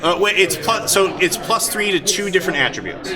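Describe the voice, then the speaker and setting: A man's voice: high voice, brisk. A man running a live tabletop game as game master and explaining rules, recorded at a live event. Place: large convention room before an audience. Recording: live event with loud crowd noise.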